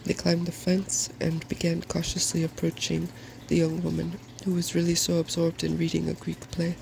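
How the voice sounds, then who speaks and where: A soft-spoken man reading a fairy tale as an ASMR storyteller. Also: a little high, monotone, normal speed, vocal fry, slight background noise, rough recording.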